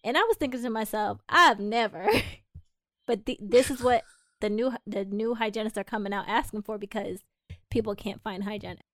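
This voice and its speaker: A woman's voice: medium pitch, normal speed, a bit bright, no room sound, studio quality. A woman who is a dental hygienist and practice owner, co-hosting a casual podcast chat and animatedly acting out the people she quotes.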